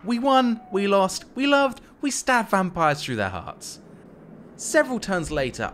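A man presenting a strategy video game in an expressive, scripted storytelling narration. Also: on the high side, slight background noise. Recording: game music behind the voice. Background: video game music.

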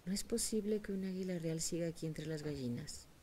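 A woman voicing a firm, no-nonsense farmer in her own low, mellow voice. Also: brisk, slight background noise, natural voice.

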